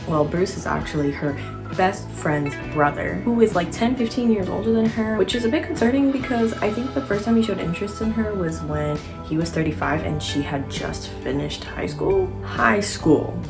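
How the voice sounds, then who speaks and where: A young woman chatting casually about books as a vlogger, with an informal, slangy way of talking. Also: fairly low pitch. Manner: informal, youthful slang and asides. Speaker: book vlogger. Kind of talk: casual chatty book review to camera. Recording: voice over clearly audible background music.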